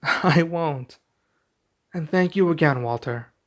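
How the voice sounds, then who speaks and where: A man playing a young king and doting expectant father in an intimate ASMR roleplay, speaking softly and affectionately with some hesitation. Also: fairly high pitch, slow, slight background noise. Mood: awed, grateful. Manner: soft, affectionate, hesitant delivery.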